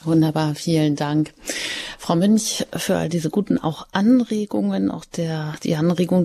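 A woman hosting a call-in radio show, thanking callers and welcoming the next in a friendly, measured way. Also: lowish voice, vocal fry, dark tone. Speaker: radio host.

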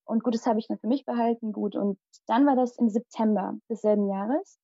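A young woman whose first language is Malayalam tells her story with dramatic flair. Her voice sits fairly high and sounds warm and mellow. The recording is dry and somewhat dull, with a lo-fi quality. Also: fairly quick, husky, no echo. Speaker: guest. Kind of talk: personal storytelling in conversation.

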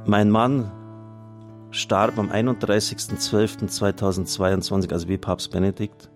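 Low-voiced man over guitar music. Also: level tone, moderate pace. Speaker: radio host and priest. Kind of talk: reading out listener testimonials and appealing for donations on air.

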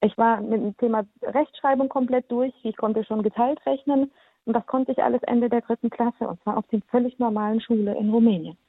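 Husky woman on a tinny phone line. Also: a little high, fairly even intonation, fairly quick, no room sound. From Transylvania, Romania. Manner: articulate, argumentative, many filler ums. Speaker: studio guest. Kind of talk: talk radio discussion.

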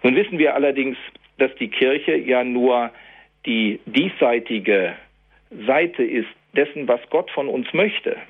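A Protestant pastor giving a calm, reflective radio sermon, slowing down to stress key words. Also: male voice, medium pitch, slightly rough. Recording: tinny phone-like radio broadcast.

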